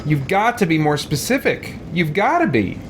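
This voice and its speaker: A man's voice: a little high, very animated, moderate pace. A man giving casual, rambling gameplay commentary with exasperated exclamations. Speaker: let's play host. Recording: noisy background from game audio.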